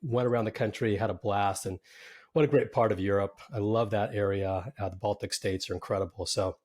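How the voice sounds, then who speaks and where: A man's voice: on the low side, fairly even intonation, a little fast, darkish tone. A man hosting a startup podcast, interviewing a founder in an upbeat, conversational way. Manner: upbeat, scripted intro then conversational. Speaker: podcast host.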